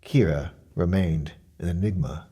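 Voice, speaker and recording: A man's voice: low voice, unhurried, dark tone, slightly rough. An actor playing an obsessive, self-righteous male mystery shopper, pompous and formal, delivering a dramatic monologue as a customer report. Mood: brooding, fixated. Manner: pompous, formal, officious, precise wording.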